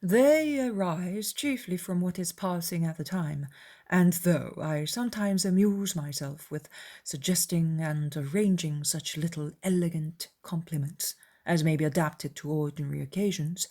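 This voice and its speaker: A man's voice: high-pitched, measured pace, darkish tone. Woman acting a pompous, fawning clergyman. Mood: self-satisfied. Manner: pompous, wordy, formal. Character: pompous, obsequious, self-important young clergyman.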